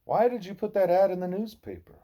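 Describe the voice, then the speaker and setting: A man's voice: high-pitched, very animated, fairly quick, dark tone. A man reading a novel aloud as an audiobook narrator. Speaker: audiobook reader.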